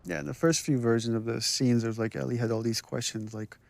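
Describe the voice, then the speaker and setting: A man giving thoughtful, halting commentary on game cutscenes he directed. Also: medium pitch, creaky. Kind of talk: reflective commentary over cutscenes.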